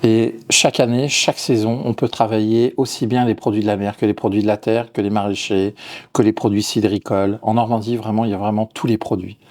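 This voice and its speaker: A middle-aged man from Lyon, a chef and restaurant owner, answering interview questions with frequent restarts and repeated words. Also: on the low side. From Lyon, France. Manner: hesitant, repeats words, warm about his work.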